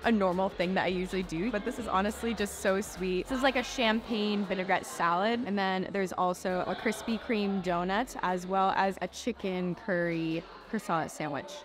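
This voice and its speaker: A woman's voice: medium pitch, fairly even intonation, normal speed, hardly any pauses. A woman in her twenties chatting casually to camera as a vlog host, with an upbeat, exclaiming manner. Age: 20s. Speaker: vlog host, cruise ship crew member. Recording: music behind the voice. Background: background music.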